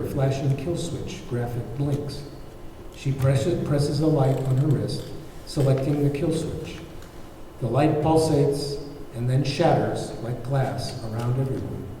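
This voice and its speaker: A man's voice: medium pitch, warm tone, slight background noise. A man reading screenplay stage directions as scripted narration, recorded from a distance in an echoey room. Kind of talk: scripted narration at a table read.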